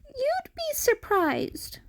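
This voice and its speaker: A very high-pitched, childlike cat boy, acted out by a woman for a fan audio story. He is timid and innocent, stumbling over his words with a fragile, tearful softness. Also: huge pitch swings, very slow, heavily acted. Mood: unsure, wary. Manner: hesitant, stammering.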